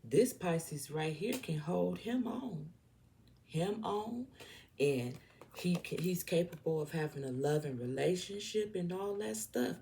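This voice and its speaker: A woman's voice: on the low side, expressive, measured pace, gravelly, dark tone, slight background noise. A woman with a Southern US accent giving an informal tarot reading, speaking bluntly and emphatically and often repeating herself. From southern United States. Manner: emphatic, repetitive, blunt, checks in with "okay?". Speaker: tarot reader.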